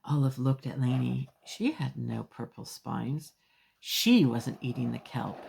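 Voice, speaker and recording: A woman's voice: extremely low pitch, creaky, darkish tone, breathy edge. A woman storyteller reading a children's picture book aloud expressively, with emphatic phrasing and small asides to young listeners.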